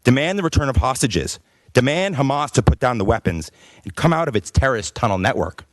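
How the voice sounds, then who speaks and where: A man's voice: mid-range voice, expressive, brisk, no room sound. A man giving a firm, pleading public comment at a council meeting.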